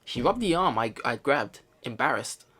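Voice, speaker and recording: A man's voice: medium pitch, sing-song, normal speed, bright, forceful tone. A man hosting a let's play, casually commenting and reading story text aloud, rambling and repeating himself as he thinks aloud. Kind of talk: casual game commentary mixed with reading visual novel narration aloud.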